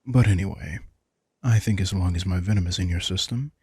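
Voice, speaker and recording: A man voicing a possessive, teasing vampire in an intimate audio roleplay, smug and softly commanding. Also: low voice, brisk, husky, no echo, clean studio sound, heavily acted. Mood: calm, explaining. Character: possessive, teasing male vampire with hypnotic powers. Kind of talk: intimate scripted audio roleplay addressed to the listener.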